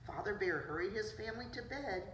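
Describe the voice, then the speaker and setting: A woman's voice: mid-range voice, lively intonation, a little fast, a bit bright. A woman preschool teacher reading a picture book aloud to children, adding simple asides about the pictures. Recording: roomy, distant mic, noisy background.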